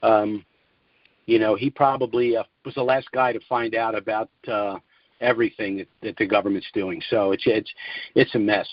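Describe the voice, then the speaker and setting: A man's voice: lowish voice, steady tone, moderate pace, no echo. Guy hosting a conference call, kinda argumentative, talks off the cuff, dull dry phone sound. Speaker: conference call host and commentator. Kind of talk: opinionated call-in commentary. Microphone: telephone conference line.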